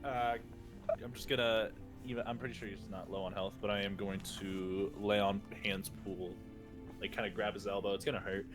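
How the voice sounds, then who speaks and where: A man's voice: on the low side, little pitch movement, brisk, music in the background. A man casually improvising as a tabletop game player, informal and jokey, swearing now and then. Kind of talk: casual improvised roleplay chat.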